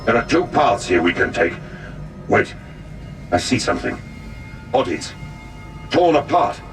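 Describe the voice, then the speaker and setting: An actor playing a wary space marine on patrol in a video game, speaking in halting observations. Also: male voice, medium pitch, sing-song, distant mic, faint music behind.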